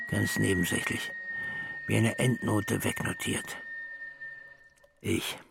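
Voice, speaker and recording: An actor playing a pedantic school director in a theatrical drama, speaking in formal, wordy, deliberate phrases. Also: male voice, fairly low pitch, creaky, breathy edge, exaggerated voice. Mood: bitter, brooding. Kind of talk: theatrical drama dialogue and monologue.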